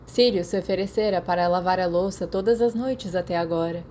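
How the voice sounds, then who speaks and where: A woman's voice: fairly low pitch, steady tone, a little fast, dark tone, a little hiss or hum. A woman with a Brazilian accent reading a story aloud as an audiobook narrator. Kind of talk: scripted fiction narration.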